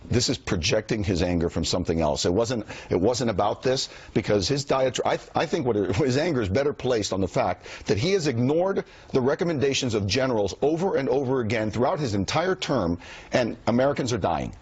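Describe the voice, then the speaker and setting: A man on a studio panel, arguing his point with halting restarts that build into emphatic statements. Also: medium pitch, expressive, darkish tone, breathy edge, no room sound, lo-fi sound.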